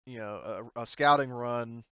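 A man's voice: mid-range voice, unhurried, bright, forceful tone, clean tone, dry recording, dull audio. A man running a tabletop game as game master, narrating off the cuff in an informal, explanatory way and swearing casually.

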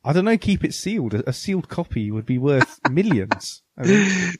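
A man's voice: on the high side, sing-song, normal speed, breathy edge, no echo. Dry, close-sounding man chatting. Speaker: podcast co-host. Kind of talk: casual chat.

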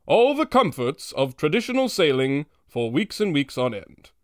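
An actor playing a grandiose announcer and pitchman for an old-time radio serial. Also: very animated, moderate pace, no room sound, clean studio sound. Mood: salesmanlike. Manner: grandiose, dramatic.

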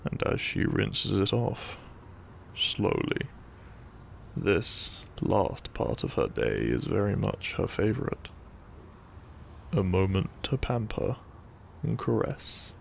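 A man narrating a gentle sleep-aid story in a soothing, hushed way, lingering between short phrases. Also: lowish voice, big pitch swings, takes it slowly, vocal fry, long pauses, a little hiss or hum. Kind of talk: soft, gentle bedtime story narration, ASMR-like.

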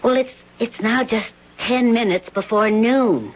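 A woman's voice: fairly high pitch, unhurried. An actress playing a worried, stammering female friend in a 1970s radio drama. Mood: anxious. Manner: anxious, stammering, pleading. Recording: muffled old radio broadcast.

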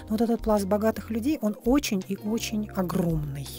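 Slightly hoarse woman vlogging on a phone. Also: medium pitch, brisk, mellow, soft background music. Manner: chatty, frank, playfully crude, drops to whispery asides. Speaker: vlogger, psychologist. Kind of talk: casual travel vlog, room tour. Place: hotel and city streets in and out of doors.

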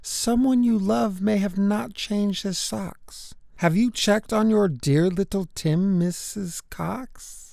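A woman's voice: mid-range voice, slow pace, creaky, few pauses, dark tone, no echo, clean studio sound, voice pushed up. A woman voicing a nosy, concerned female neighbour confiding gossip in a storybook.

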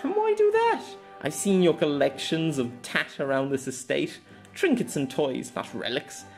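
A man voicing a confident, playful young noblewoman swordfighter in an audio story, soft-spoken but commanding, with grand archaic phrasing. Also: fairly high pitch, sing-song, normal speed, gravelly, soft background music, pitched above the performer's own voice. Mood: teasing, confident.

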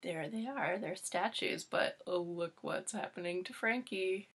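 A woman's voice: lowish voice, unhurried, fairly bright tone, husky. A middle-aged woman, a preschool teacher, reading a picture book aloud to young children from a room at home.

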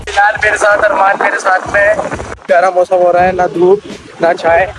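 A man's voice: high voice, level tone, normal speed, music in the background. A North Indian man chatting casually as he hosts a travel vlog. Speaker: vlogger hosting an outing with friends.

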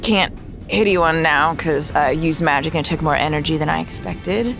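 A woman's voice: deep voice, steady tone, moderate pace, gravelly. A woman casually narrating her character's actions as a player in a tabletop roleplaying game. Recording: background music under voice.

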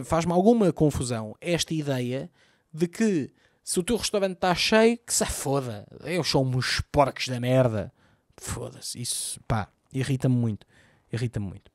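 A man in his thirties from Lisbon with a Lisbon Portuguese accent, hosting a solo podcast as a comedian, ranting profanely with big gestures into a close boom mic in a small room at home. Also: a little mellow, no echo. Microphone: boom mic close to mouth, wearing headphones.

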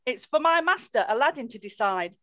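An obliging old genie of the lamp from an amateur pantomime, read by a woman. The voice is high and very animated, making grand offers of service. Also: a little fast, no echo, clean studio sound. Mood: eager to serve. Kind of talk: amateur pantomime script reading. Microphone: computer mic on video call.

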